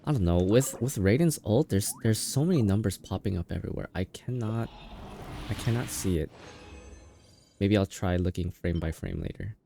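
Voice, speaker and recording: A man's voice: lowish voice, animated, moderate pace, vocal fry, warm tone, a little hiss or hum. A man livestreaming a game in casual chat, sounding tired, rambling and playfully teasing. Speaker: game streamer. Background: game music.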